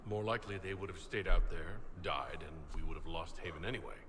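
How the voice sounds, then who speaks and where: A man's voice: deep voice, brisk, pushed and bright, echoey room, a little hiss or hum. An actor playing a principled, commanding male military leader in video game dialogue. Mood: firm, questioning.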